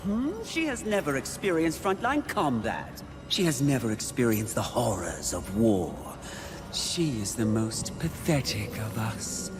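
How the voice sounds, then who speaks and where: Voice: medium pitch, sing-song, measured pace. A sly, mocking sin. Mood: taunting. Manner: taunting, silky, sneering. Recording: cinematic game audio with music.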